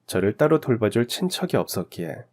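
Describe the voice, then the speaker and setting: Lower-pitched male radio story narrator. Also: big pitch swings, fairly quick, darkish tone. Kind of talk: scripted radio story narration in first person.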